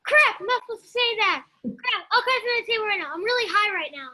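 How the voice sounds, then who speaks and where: A woman acts out a young sitcom woman who is high and spoiling for an argument. Her voice is very high and piercingly bright. She rambles, stumbles and congratulates herself as she goes. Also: animated, fast talker, heavily acted. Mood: guilty, dazed. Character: Britta, high, combative young woman arguing with her friends. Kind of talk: sitcom script table read.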